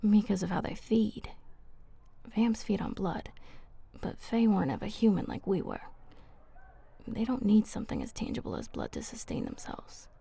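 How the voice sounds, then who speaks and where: A woman voicing a flirty, protective female vampire in a breathy, husky, intimate ASMR roleplay. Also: medium pitch, huge pitch swings, normal speed, dark tone, heavily acted. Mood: concerned, indignant. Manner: intimate, coaxing, casual slangy speech.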